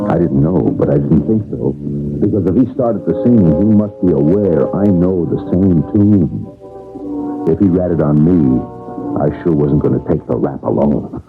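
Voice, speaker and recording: A crooked, uneasy fugitive in a vintage radio mystery. His voice sits low and swings widely in pitch. The broadcast sound is dull, with music. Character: shifty, crooked bigamist man on the run, uneasy. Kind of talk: 1970s radio mystery acting, first-person narration and dialogue.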